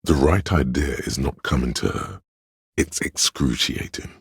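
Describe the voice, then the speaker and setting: A pompous, arty voice inside the head, narrating a video game in second person. The voice is extremely low and very husky, with a creaky edge. The delivery is theatrical and ponderous, like scripted art criticism, recorded close and dry. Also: male voice, measured pace, dry recording, exaggerated voice. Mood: musing, grandiose. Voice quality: gravelly, husky, very deep. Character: inner voice of artistic imagination, pretentious, intellectual, male.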